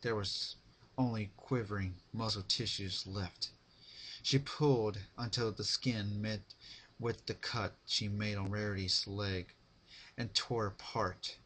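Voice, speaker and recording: A man narrating fan fiction as a dramatic reading, with deliberate phrasing. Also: on the low side, fairly even intonation, fairly bright tone, slightly rough.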